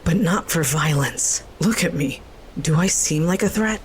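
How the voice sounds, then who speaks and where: Woman playing a low-voiced, earnest young girl. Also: measured pace, a little hiss or hum. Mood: pleading. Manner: earnest, pleading, unguarded. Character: earnest, curious, bold young girl, a budding witch. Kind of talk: fantasy audio drama acting.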